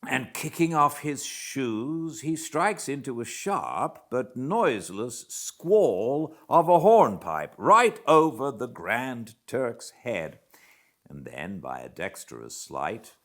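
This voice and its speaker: British male audiobook reader, low and unhurried, theatrical literary delivery with weighty pauses. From Britain. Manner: theatrical, deliberate phrasing with dramatic pauses. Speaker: audiobook narrator. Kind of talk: expressive literary audiobook narration.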